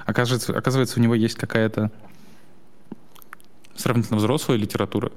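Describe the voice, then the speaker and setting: A young man co-hosting a podcast in casual chat, talking haltingly with restarts and short laughs. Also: fairly low pitch, flat delivery, slight background noise. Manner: halting, full of restarts, laughs between thoughts. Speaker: podcast co-host.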